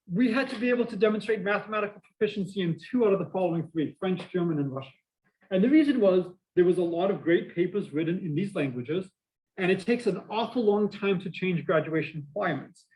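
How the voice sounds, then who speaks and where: A middle-aged man with a Boston accent giving a university math lecture, lively and full of asides and questions to the class. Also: high-pitched, a little fast, low-quality recording. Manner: energetic, prone to asides and questions to students. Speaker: math professor.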